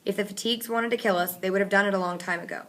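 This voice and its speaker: A woman's voice: medium pitch, steady tone, rapid-fire, a bit bright, lightly acted. Audiobook: woman reading as an irritable teen boy, blunt and curt. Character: tough, short-tempered teenage runaway boy.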